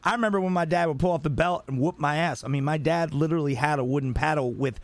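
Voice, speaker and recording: A gravelly-voiced man in his late thirties talks bluntly on a casual podcast, joking and leaning on fillers as he goes. His voice sits somewhat high, and the recording is dry and close, with no room sound. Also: fast talker, no echo. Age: 30s. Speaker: podcast co-host. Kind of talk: casual chat.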